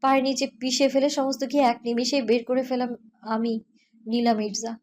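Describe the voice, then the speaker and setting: A woman's voice: a little high, flat delivery, normal speed, a little mellow, lightly acted. A woman playing a bold, defiant young university student in an audio story, assertive and taunting. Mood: defiant, scornful. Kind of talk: audio story character dialogue.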